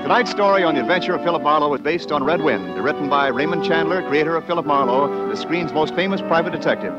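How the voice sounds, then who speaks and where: Higher-pitched 1940s American radio announcer man. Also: lively intonation, fast. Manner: formal, polished, emphatic. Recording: dull 1940s radio recording, loud music.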